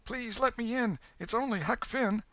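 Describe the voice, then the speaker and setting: A man voicing a frightened, uneducated vagabond boy with a rural American accent in an audiobook, talking in an anxious, halting, ungrammatical country way. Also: very high voice, bright, forceful tone, dry recording, pitched above the performer's own voice, exaggerated voice. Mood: pleading, scared. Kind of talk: audiobook character voice.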